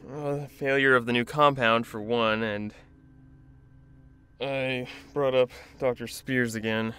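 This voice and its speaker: A man's voice: medium pitch, fairly even intonation, slow pace, pushed and bright, clear, resonant tone. A man playing a driven, secretive and resourceful research doctor in an audio drama. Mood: subdued, hesitant.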